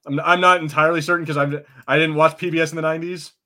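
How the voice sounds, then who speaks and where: A man's voice: on the high side, flat delivery, very fast, bright, forceful tone. A man co-hosting a podcast, chatting casually with frequent swearing and hesitant restarts. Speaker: podcast co-host. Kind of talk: casual chat.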